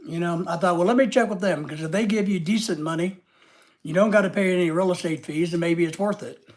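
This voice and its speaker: A man's voice: high voice, a little fast. A man hosting a casual live stream with a head cold, rambling and reading out viewer comments.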